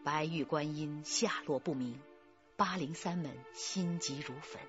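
A woman's voice: low-pitched, a little slow, soft background music. A woman reading serious, suspenseful scripted narration for a crime radio drama. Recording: slightly dull lo-fi audio.

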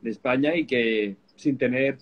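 A man in his thirties with a Spanish accent, a show host at a middle pitch talking into a shared webcam on an indoor set. He is agreeable and chatty, tossing in quick affirmations while he talks about beer. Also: highs cut off. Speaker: host, beer subscription company. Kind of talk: casual interview over video call. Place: indoor studio with colorful backdrop. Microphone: webcam sharing a shot with a co-host.

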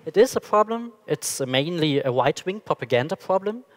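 Young male panelist, plain phrasing, higher voice, hall echo. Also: very animated, brisk. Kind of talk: conference panel talk. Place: large conference stage. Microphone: headset mic. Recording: reverberant hall.

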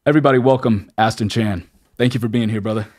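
A man's voice: fairly low pitch, fast, darkish tone. A man from Los Angeles hosting a podcast, talking in a laid-back, enthusiastic way with casual slang. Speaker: podcast host.

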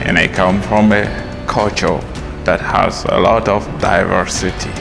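A man with a low, gravelly voice gives measured, formal interview answers. Music plays clearly behind him. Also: flat delivery. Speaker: hall monitor. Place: room with brick wall, indoors.

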